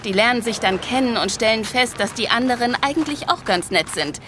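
A woman's voice: fairly high pitch, lively intonation, brisk, a bit bright, slight background noise. A woman playing a friendly, concerned nun in an audio drama, explaining things in a conversational, slightly hesitant way. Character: friendly, concerned Nigerian nun working for peace. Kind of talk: interactive audio drama dialogue.

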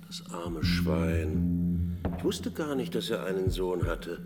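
A man's voice: sits low, lively intonation, slow, long reverb tail, over loud music. An actor playing a hard-drinking, sardonic male forensic doctor and war veteran in a German radio drama. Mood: sardonic, boozy.

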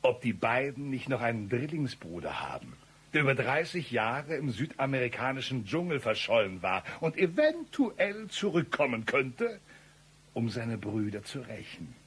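An actor in a comic radio play, playing an excitable, blustering gunman out for revenge, with a theatrical, rough delivery. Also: medium pitch, normal speed, exaggerated voice. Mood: menacing, scornful. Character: excitable male gunman avenging his brothers, melodramatic and absurd.

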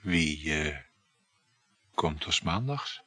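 Wry, needy man in his thirties, low voice, very husky, hesitant delivery, intimate radio play. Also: animated, takes it slowly, pushed and bright, no room sound, heavily acted. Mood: jealous, resigned. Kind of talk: Dutch radio drama acting, intimate dialogue.

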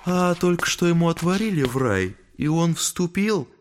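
An actor playing a mocking, talkative gentleman devil with ironic, theatrical storytelling in an audio drama. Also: a little high, animated, normal speed, close to an everyday voice. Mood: sly, ironic.

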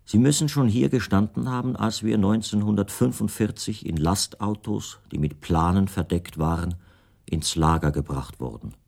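A man playing a melancholy, detached middle-aged writer, reading his recollections in a reflective, unhurried way for a radio play. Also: fairly low pitch, vocal fry, darkish tone. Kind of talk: first-person literary monologue in a radio play.

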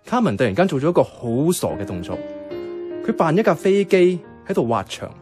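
A man's voice: fairly high pitch, big pitch swings, fairly quick, darkish tone, soft background music. An actor playing a bemused, reluctant young man who narrates and talks in a radio drama, wry and questioning, with a Hong Kong Cantonese accent. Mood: puzzled. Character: bemused, reluctant young male companion narrating a night walk.

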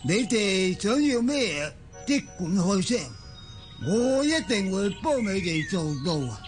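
Solemn old tribe shaman for radio drama, high pitched, really drawn out and theatrical. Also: sing-song, unhurried, music in the background. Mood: earnest. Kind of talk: Cantonese radio drama acting.

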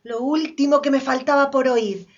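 A woman's voice: high voice, fairly even intonation, moderate pace. An actress playing an outraged, incredulous ordinary woman, exclaiming colloquially in a radio drama. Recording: dull lo-fi audio.

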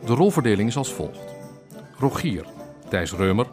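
Male radio announcer, deep-ish and animated, reading scripted credits, music underneath. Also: normal speed. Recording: clear music behind.

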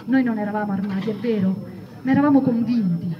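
A woman giving a theatrical reading of a first-person memoir, acting out the shouts and quoted speech. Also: medium pitch, quick pace. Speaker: reader at a theatre event. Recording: distant mic, dull sound, audience noise.

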